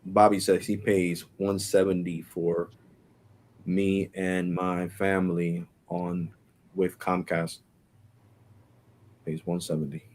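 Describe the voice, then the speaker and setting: A deep-voiced man in his forties rambles through a casual live stream chat. He talks loosely and full of slang, tossing in bro and freaking, with a little background hum. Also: flat delivery, slow, pauses often, a little mellow. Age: 40s.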